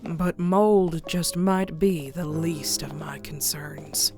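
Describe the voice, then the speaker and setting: Woman doing a scared pony narrating horror comic, deep for a lady, slow n suspenseful, full of dread. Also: music in the background. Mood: hushed dread. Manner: slow, suspenseful, dropping into hushed breathy whispers. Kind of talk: scripted dramatic horror narration for a comic dub.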